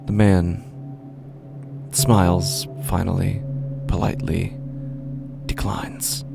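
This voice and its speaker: A male actor plays a sinister, self-pitying street musician narrating a horror podcast tale. His voice is very low and full of fry, sinking breathily toward a whisper. He speaks at a crawl, brooding and halting, with menace in the pauses. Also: heavily acted. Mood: insistent, menacing. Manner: slow, halting, brooding delivery with drawn-out pauses. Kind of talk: first-person horror audio drama monologue. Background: music under the voice.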